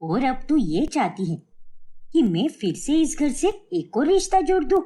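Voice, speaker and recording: Casting: female performer as bossy, scolding middle-aged mother-in-law for a cartoon family tale, animated and sharp. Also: high-pitched, unhurried. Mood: outraged. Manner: sharp, nagging, indignant. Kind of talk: cartoon family drama story.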